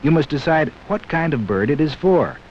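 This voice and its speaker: A man narrating a scripted 1960s educational film in a measured, explanatory way, speaking directly to young viewers. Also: on the high side, sing-song. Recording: dull, hissy 1960s 16mm film soundtrack.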